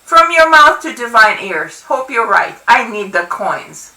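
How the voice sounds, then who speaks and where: A woman voicing an exasperated, foul-mouthed young working-class granddaughter with a quick temper in a storybook. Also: a little high, sing-song, sharp tone, exaggerated voice. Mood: wry. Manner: exasperated, emphatic. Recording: echoey room, distant from the mic.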